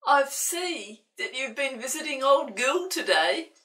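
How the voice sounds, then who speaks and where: A woman's voice: high voice, expressive, unhurried, bright, forceful tone, pitched above the performer's own voice, heavily acted. A woman voicing a friendly, kindly grandpa in a storybook, hearty and affectionate. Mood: hearty greeting. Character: friendly, kindly grandpa who fusses over a cat. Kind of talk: storybook character voice. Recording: roomy, distant microphone.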